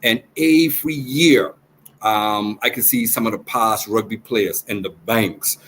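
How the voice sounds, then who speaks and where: A man's voice: on the low side, a little slow, a bit bright. A man, a rugby coach speaking earnestly and proudly in a remote interview, often repeating himself. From Turks and Caicos Islands. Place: outdoors beside team. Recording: faint background noise.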